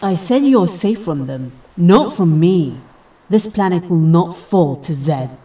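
Audio drama warrior guardian, played by a woman: female, immortal, stern, commanding, deep-voiced, slightly off-mic. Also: expressive, slow pace, dark tone, far from the mic. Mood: menacing. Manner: solemn, commanding.

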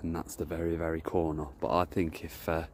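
A man's voice: a bass voice, completely flat delivery, brisk, noisy background. A man chatting casually as he walks around a lake, filming on his phone, rambling and correcting himself as he goes. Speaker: carp angler vlogger. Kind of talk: casual walk-and-talk vlog. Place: outdoors by a lakeside, walking through bushes. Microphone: phone camera held while walking.

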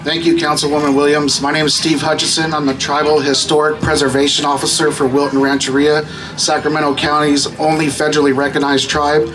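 A man's voice: fairly high pitch, little pitch movement, fairly quick, low-quality recording. A man giving a formal introduction as a guest speaker on an outdoor stage microphone. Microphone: stage microphone through PA speakers.

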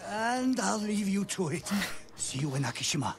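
Grumbling smuggler, swinging pitch. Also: male voice, sing-song, moderate pace, over music. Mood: resigned, businesslike. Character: gruff, weary, hard-drinking male smuggler and boatman. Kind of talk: video game cutscene acting.

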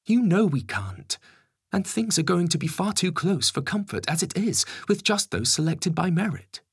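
A man's voice: sits high, very animated, moderate pace, husky, no room sound, studio quality, voice pushed up, full character voice. A man voicing a cold, pragmatic Home Secretary who argues in an insistent, calculating way in a dramatic story. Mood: firm. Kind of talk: acted dialogue in a dramatic story reading.

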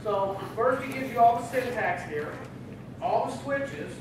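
A man's voice: very high voice, measured pace. A middle-aged man giving a casual technical presentation to an audience, often checking in with "right?". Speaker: presenter at a user group meeting. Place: large meeting room with audience. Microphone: room recording from a far camera. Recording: very echoey room, distant, noisy.

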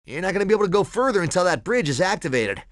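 A man's voice: high voice, big pitch swings, rapid-fire, dry recording, clean studio sound. A man voicing a cocky, laid-back young cheetah sidekick in a video game, breezy, slangy and friendly. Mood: smug. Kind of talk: animated video game voice acting.

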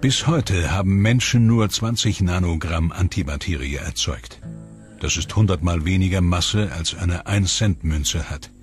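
A man narrating a science documentary in a calm, measured, scripted delivery. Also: sits low. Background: music.

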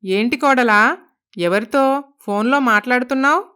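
A woman's voice: fairly high pitch, moderate pace, bright, forceful tone, clear, resonant tone. A woman voicing a stingy, stubborn middle-aged mother-in-law in a cartoon moral story, blunt and scolding in manner. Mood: suspicious, questioning. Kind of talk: Telugu animated moral story voice acting.

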